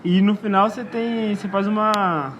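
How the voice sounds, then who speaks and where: A man's voice: high-pitched, steady tone, moderate pace, muffled recording, noisy background. A man interviewing a guest outdoors in a park, in an informal, chatty way with slang. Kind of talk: casual interview conversation.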